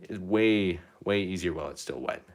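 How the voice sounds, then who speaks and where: A young man chatting casually while painting on a live stream, rambling and self-deprecating, his pitch rising at the ends of phrases. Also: moderate pace. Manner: rambling, self-deprecating, reads chat comments aloud. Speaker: painting livestreamer.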